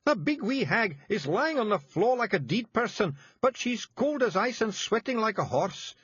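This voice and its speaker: A tiny, fierce fairy man with a thick Scottish brogue, barking out orders in a high, gruff voice that swoops wildly as he shouts. The sound is dry and a little dull, with a husky edge. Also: big pitch swings, normal speed, no echo, full character voice. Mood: urgent, shouting. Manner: gruff, urgent, shouting in broad dialect. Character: small fierce male Scottish fairy leader.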